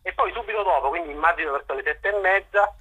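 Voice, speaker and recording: Halting man, very sharp raspy voice, phone-in. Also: high voice, poor audio. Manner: halting, searching for words with repeated false starts. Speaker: journalist interviewed as guest. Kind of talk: spoken political and historical analysis in an interview.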